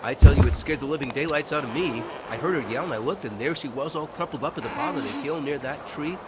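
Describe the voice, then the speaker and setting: A man's voice: fairly high pitch, fast talker, very noisy, natural voice. A man playing a folksy older country fellow who earnestly recalls his boyhood in an audio drama. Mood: animated, rueful.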